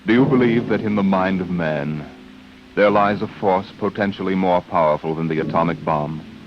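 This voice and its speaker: An American man narrating as a vintage radio host, portentous and theatrical with deliberate pauses. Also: low-pitched. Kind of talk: dramatic 1950s radio narration. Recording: muffled old radio broadcast with music. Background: music.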